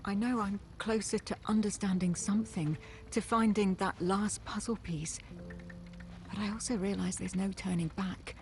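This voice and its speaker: A haunted woman in a horror video game. Her voice is a little low and unhurried, hushed and halting with dread yet determined. Clear background noise sits behind her. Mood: brooding, hushed. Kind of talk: dramatic video game acting with introspective monologue.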